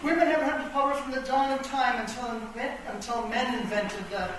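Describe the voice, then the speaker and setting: A woman's voice: a little high, moderate pace, fairly bright tone, lightly acted. A woman playing a grand, bossy Woman King chess piece, lofty and declamatory, in a comic radio play. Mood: commanding. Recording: echoey room, distant mic, faint noise.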